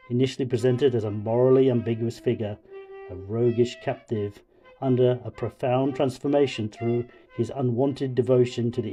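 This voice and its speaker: A man voicing a pompous, booming oaf with a cold, delivering halting mock-scholarly film criticism. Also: mellow, lightly acted. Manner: pompous, mock-intellectual, halting over long words. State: has a cold.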